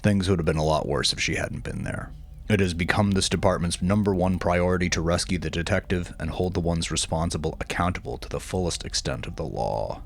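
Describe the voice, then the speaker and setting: A man's voice: deep voice, a little fast, creaky. A man voicing a resolute police official giving a formal, grave public statement.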